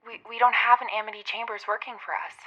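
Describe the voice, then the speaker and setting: Female operator, acted: nervous, polite, bright sharp voice on a tinny phone line, radio drama. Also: on the high side, flat delivery, fast. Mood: flustered. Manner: hesitant, polite. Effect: telephone filter. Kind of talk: radio play acting.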